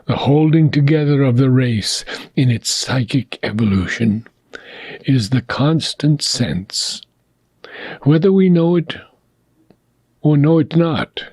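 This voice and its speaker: A man reading philosophical prose aloud in a solemn, measured way, with deliberate phrasing and frequent pauses. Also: mid-range voice, sing-song, a little mellow, breathy edge, no echo. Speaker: reader of a philosophical book.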